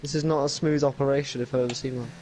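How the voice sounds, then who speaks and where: A man's voice: mid-range voice, steady tone, rapid-fire, darkish tone, a little hiss or hum. A young man commentating an esports racing league in casual chat, bantering and laughing often, on a headset from a home studio.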